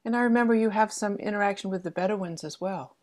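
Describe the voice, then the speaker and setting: A woman's voice: mid-range voice, quick pace, a little mellow. A woman hosting an interview, asking simple questions conversationally and acknowledging answers attentively. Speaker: interviewer, host.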